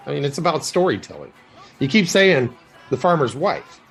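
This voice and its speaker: A man's voice: on the high side, very animated, moderate pace, soft background music. An actor playing an inquisitive, obsessive male writer with a wry, pedantic manner in naturalistic audio drama dialogue. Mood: pedantic teasing.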